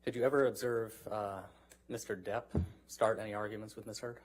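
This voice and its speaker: A man's voice: fairly low pitch, little pitch movement, a little fast. A man questioning a witness as a lawyer in a courtroom. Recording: noisy courtroom broadcast feed.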